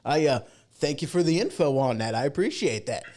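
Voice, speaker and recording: A man's voice: a little high, lively intonation, a little fast, a little mellow. A man hosting a podcast livestream in a casual, rambling, informal way. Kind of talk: casual chat. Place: home podcast studio.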